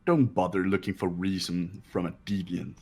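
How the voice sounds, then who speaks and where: Disdainful, suspicious young rich-boy type, acted by a man, low-pitched, condescending game-character delivery. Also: steady tone, a little mellow, husky, faint music behind. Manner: condescending, measured. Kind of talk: amateur game voice acting.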